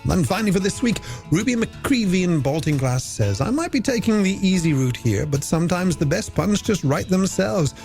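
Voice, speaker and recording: A man's voice: high voice, animated, normal speed, gravelly, hardly any pauses, darkish tone. A man hosting late-night music radio, reading out listener messages in playful, flowery, alliterative patter on a studio microphone. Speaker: radio host. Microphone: studio microphone on a stand.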